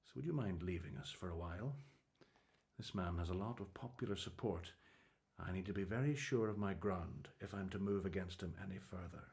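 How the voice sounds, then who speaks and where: A man's voice: low voice, fairly quick, darkish tone. An actor playing a cautious, exasperated king in a biblical radio drama, authoritative yet placating.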